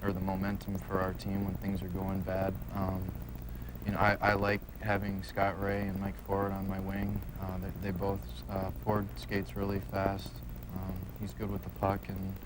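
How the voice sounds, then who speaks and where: Rookie athlete, young man. Low-ish, monotone, plain-spoken and hesitant in interview, noisy backdrop. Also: moderate pace. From United States. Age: young adult. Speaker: rookie professional hockey player being interviewed. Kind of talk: casual sports interview. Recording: clearly noisy background.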